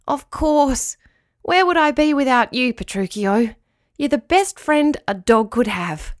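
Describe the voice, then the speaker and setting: A woman plays an earnest storybook dog, a loyal and excitable friend. The voice swings up and down with great animation and sounds close and dry. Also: sits high, a little slow, no echo, voice pushed up. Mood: grateful. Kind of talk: children's storybook character voice.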